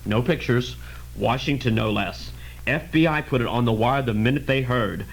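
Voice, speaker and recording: A man playing a weary, hard-boiled police lieutenant in an amateur radio drama, clipped and deadpan. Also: medium pitch, normal speed, vocal fry, a little hiss or hum, lightly acted, poor audio. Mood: matter-of-fact, probing. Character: weary, matter-of-fact male police lieutenant investigating deaths.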